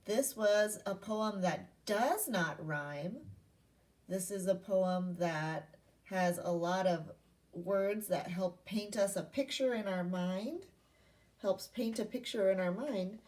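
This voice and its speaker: A woman's voice: mid-range voice, takes it slowly, a little mellow, far from the mic. A woman primary school teacher giving an interactive read-aloud lesson to young children, asking questions and repeating key points in an instructional way.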